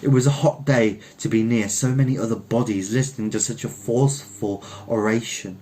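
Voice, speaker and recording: A man's voice: on the low side, fairly even intonation, a little fast, mellow. A man reading a literary short story aloud as its narrator. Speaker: story reader.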